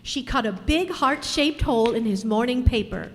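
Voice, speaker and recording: Woman playing an eager high-voiced schoolgirl, echoey hall. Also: expressive, normal speed, a little hiss or hum. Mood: chatty. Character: eager, polite teenage schoolgirl. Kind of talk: old-time radio sitcom acting before an audience. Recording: echoey hall, amateur stage performance.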